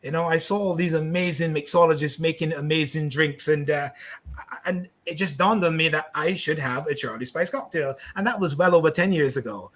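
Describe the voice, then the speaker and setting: A man's voice: fairly high pitch, a little fast, fairly bright tone. A middle-aged man from Barbados hosting a live online talk show, upbeat and effusive, talking over a video call setup. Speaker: host.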